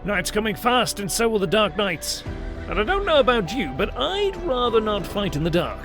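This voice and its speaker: A gruff cavalry captain from a fantasy war story, acted out by a man. The voice is pitched up and urgent, giving orders decisively over prominent music. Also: very animated, normal speed. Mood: brisk, urgent. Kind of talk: dramatised fantasy audiobook character.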